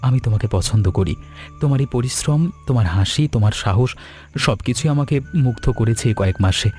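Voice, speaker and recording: A man voicing a sincere, courteous young suitor in an animated story, speaking earnestly and politely. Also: medium pitch, brisk, faint music behind, close to an everyday voice. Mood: tender, earnest. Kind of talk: Bengali animated story dialogue.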